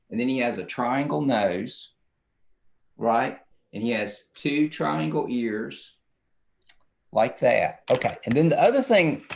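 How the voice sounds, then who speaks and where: A middle-aged man giving a casual, rambling drawing demonstration for children, often checking in with the viewer. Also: medium pitch.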